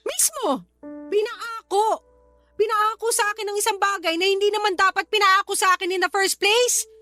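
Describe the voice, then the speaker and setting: A Filipino actress playing a resentful, defiant young woman working abroad in a radio drama, with a sharp-tongued, argumentative manner. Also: extremely high pitch, steady tone, moderate pace. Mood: indignant, defiant. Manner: argumentative, indignant, sarcastic. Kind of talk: Tagalog radio drama acting. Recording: music behind the voice.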